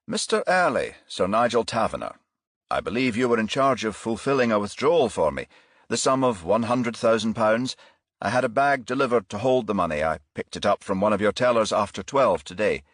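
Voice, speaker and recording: A man voicing a formal, curt and demanding titled gentleman in a crime audiobook, with pointed questioning. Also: fairly low pitch, big pitch swings, a little fast, no room sound, studio quality, pitched above the performer's own voice.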